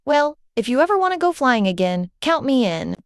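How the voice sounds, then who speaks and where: A small adventurous storybook squirrel, played by a woman narrator, squeaky and high. He is plucky and brisk, with lively swings of pitch as he gives instructions. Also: no echo, studio quality. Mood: brisk, eager. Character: tiny adventurous male squirrel, plucky and knowing.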